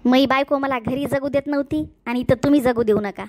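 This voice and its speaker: A woman's voice: sits high, brisk, dry recording, studio quality, natural voice. A woman voicing a hapless, henpecked bald man in his forties in a comedy cartoon, plaintive yet cheeky, with a rural Marathi accent.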